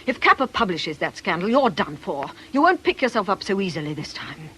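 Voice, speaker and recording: A woman's voice: medium pitch, very animated, a little fast, sharp tone, a little hiss or hum. An actress playing a bitter, mocking middle-aged witch in a theatrical radio drama manner. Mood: bitter defiance.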